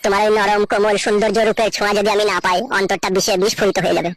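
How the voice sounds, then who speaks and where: A woman voicing a lovesick married village man in a comic cartoon, a melodramatic flatterer who gushes and pleads. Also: mid-range voice, very fast, natural voice. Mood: gushing, pleading. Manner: gushing, melodramatic.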